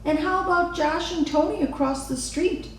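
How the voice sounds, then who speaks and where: A woman's voice: very high-pitched, moderate pace, pitched above the performer's own voice. A woman voicing an earnest, wistful little girl from a storybook, with eager, wondering phrasing. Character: earnest, wistful little girl who loves her mother. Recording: echoey room, distant mic, faint noise.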